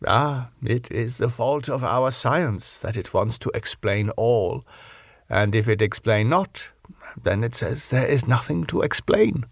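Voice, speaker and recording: A man voicing an older professor in an audiobook, earnest and philosophical, putting questions in halting, foreign-sounding English. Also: medium pitch, lively intonation, vocal fry, dry recording, clean studio sound. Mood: earnest, chiding. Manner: rhetorical questioning, halting non-native English phrasing. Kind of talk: audiobook character acting.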